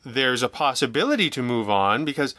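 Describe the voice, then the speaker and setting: A man talking casually as an interview guest, telling stories in an animated, jokey, self-deprecating way and acting out remarks he quotes. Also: medium pitch, brisk, dry recording. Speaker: guest, audio drama writer and producer.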